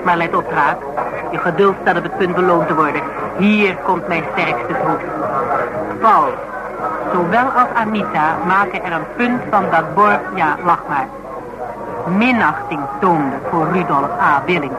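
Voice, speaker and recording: A woman's voice: on the low side, measured pace, heavy background noise, close to an everyday voice. Radio play: actress as outspoken woman, Netherlands Dutch accent, argumentative and teasing, stubbornly reasons things out in everyday language. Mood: teasing, persuasive. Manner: emphatic, argumentative, colloquial, teasing. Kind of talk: naturalistic radio drama dialogue.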